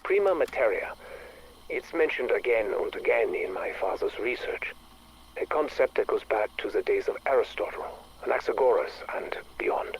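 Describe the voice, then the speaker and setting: An actor playing an obsessed, brooding scientist with a husky voice, speaking formally and tersely in dramatic video game audio logs. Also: male voice, mid-range voice, expressive, normal speed, a little hiss or hum, poor audio. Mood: brooding, intent.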